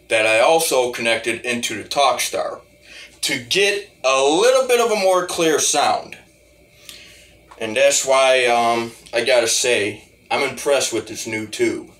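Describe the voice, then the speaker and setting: A man giving a casual, rambling demo of music gear, halting and repeating his phrases as he goes. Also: mid-range voice, fairly bright tone. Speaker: hobbyist musician reviewing talk box gear.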